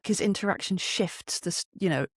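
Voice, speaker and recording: Middle-aged interviewee, mid-pitched and dryly recorded, emphatic conversational storyteller. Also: brisk, no room sound, clean studio sound. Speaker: interview guest, voice actor and poet.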